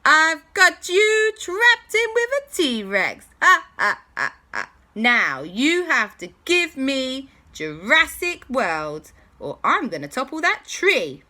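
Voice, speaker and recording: A woman's voice: sits high, big pitch swings, slow, pushed and bright, voice pushed up, exaggerated voice. A woman voicing a vain, boastful male villain in a children's story, cackling and gloating.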